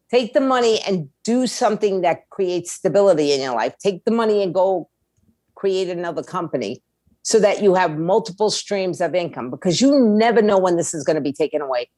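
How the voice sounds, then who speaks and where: A woman's voice: on the low side, darkish tone, no echo. A woman giving candid, conversational advice as an interview guest, blunt and plain-spoken. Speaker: music attorney and label owner, interview guest.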